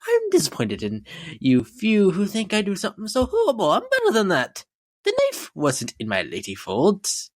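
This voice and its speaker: A woman's voice: medium pitch, huge pitch swings, normal speed, no echo, voice pushed up. A woman voicing a vain, theatrical, unhinged lady unicorn pony from a cartoon, with an affected, haughty manner, in a dramatic fan reading. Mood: indignant, theatrical. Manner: affected, haughty, says darling. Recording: music behind the voice.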